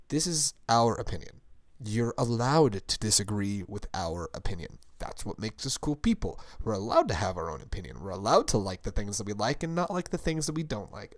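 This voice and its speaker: A young man co-hosting a casual chat show, his voice hoarse, deep and gravelly from screaming, rambling upbeat and laughing often. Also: no echo, clean studio sound. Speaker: podcast co-host.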